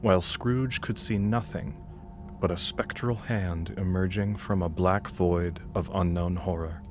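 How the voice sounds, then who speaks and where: A man's voice: low voice, steady tone, unhurried, a little hiss or hum. A man narrating an audio drama as a grave, solemn storyteller with an ominous manner.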